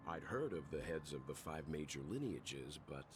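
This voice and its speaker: An actor playing a calm, courteous middle-aged gentleman in a video game, polite and measured. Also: a bass voice, noisy background. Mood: calm concern.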